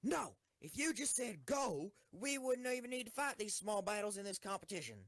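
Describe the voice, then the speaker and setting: A woman's voice: medium pitch, expressive, moderate pace, no room sound, clean studio sound. A woman acting a ruthless, hot-tempered young female tank commander in an audio drama, commanding and intense. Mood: defiant.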